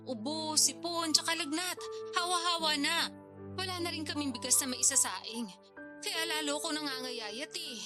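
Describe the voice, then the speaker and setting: An actress playing a hard-up older sister from the province in a radio drama, insistent and wheedling as she guilt-trips her sibling. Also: high voice.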